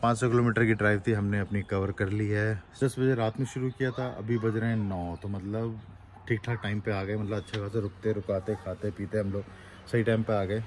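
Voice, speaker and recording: Thirties male travel vlogger, selfie phone mic, outdoors, slightly low voice, chatty and excitable, light traffic noise behind. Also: brisk. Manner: chatty, exclaiming over the scenery. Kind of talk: casual travel vlog. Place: outdoors on a mountain road. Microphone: phone at arm's length.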